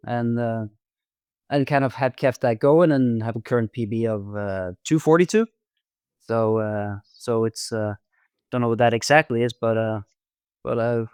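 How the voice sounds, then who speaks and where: A man in his twenties with a Norwegian accent, chatting casually as a podcast guest, halting often with ums and repeated words. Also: mid-range voice, darkish tone, clear, resonant tone, no echo, studio quality. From Norway. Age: 20s. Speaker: podcast guest, amateur marathon runner. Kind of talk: casual interview chat.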